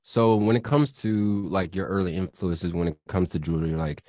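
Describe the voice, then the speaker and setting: A young man hosts a casual podcast in a very low, deep voice, recorded close and dry. He speaks in easygoing slang and his questions wander before they land. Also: moderate pace, a little mellow, no echo. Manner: laid-back slang, asks long rambling questions. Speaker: podcast host.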